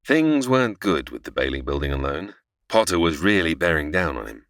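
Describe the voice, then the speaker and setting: A man's voice: very deep voice, very animated, fairly quick, a bit bright, no room sound, studio quality, exaggerated voice. A man playing a senior angel who narrates an audio drama, explaining patiently but easily exasperated. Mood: storytelling. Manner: explanatory, storytelling.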